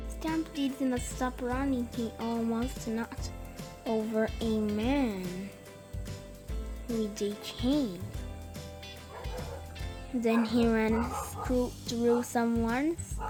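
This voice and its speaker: A woman reading a children's bedtime story aloud in short, halting phrases, word by word. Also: on the high side. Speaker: story reader. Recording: music playing behind the voice. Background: music.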